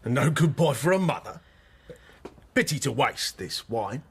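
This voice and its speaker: An actor in a radio drama playing a gruff, suspicious middle-aged father with a rasping voice and curt, demanding manner. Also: medium pitch, animated, measured pace, a little hiss or hum. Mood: suspicious, bitter. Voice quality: very husky, rasping.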